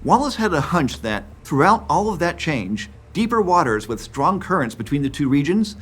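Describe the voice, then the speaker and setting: A man in his fifties giving scripted, clear documentary narration about science. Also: fairly high pitch, big pitch swings, moderate pace, fairly bright tone. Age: 50s. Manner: clear, explanatory.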